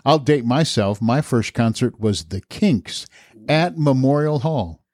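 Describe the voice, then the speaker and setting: A man's voice: medium pitch, animated, a little slow, darkish tone, no room sound, studio quality. An older man hosting a podcast, speaking in a conversational interview style. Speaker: podcast host.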